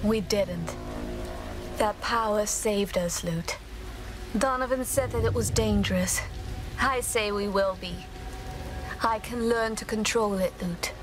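A woman's voice: medium pitch, slow pace, pauses often, over music, lightly acted. A woman playing a stubborn, determined young warrior in a video game, terse and weary in her delivery. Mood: defiant. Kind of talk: video game cutscene acting.

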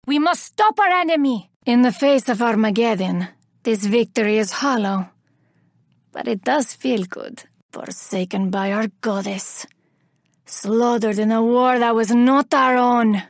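Scornful doom herald reaper woman for a game, cold n haughty, higher voice, super dry recording. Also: no echo. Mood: urgent command. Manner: cold, haughty, theatrical delivery. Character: menacing, scornful female reaper herald, vengeful and doom-preaching. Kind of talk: video game character voice lines.

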